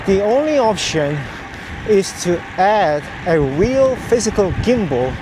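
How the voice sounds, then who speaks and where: A man explaining things in short, halting phrases, vlogging outdoors while out riding on the road. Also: high voice, sing-song. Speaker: vlogger, cyclist testing camera gear. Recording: clear outdoor road and traffic noise. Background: ambience and vehicle noise.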